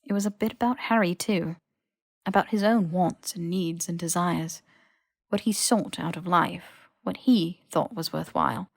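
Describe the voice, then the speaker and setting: A woman's voice: on the low side, a little slow, creaky, no echo, clean studio sound. A woman reading scripted fiction as an audiobook narrator.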